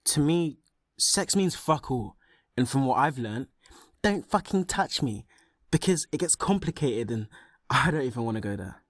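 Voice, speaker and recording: A guarded young man played by an actor speaks bluntly and defensively in a radio drama. His medium-pitched voice sounds disillusioned and is recorded very dry. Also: animated, normal speed, no echo, close to an everyday voice. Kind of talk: radio play monologue.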